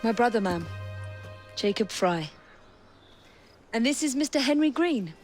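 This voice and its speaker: An actress playing a confident young woman assassin, speaking politely with a quick wit. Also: a little high, animated, faint music behind. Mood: poised. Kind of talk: video game cutscene acting.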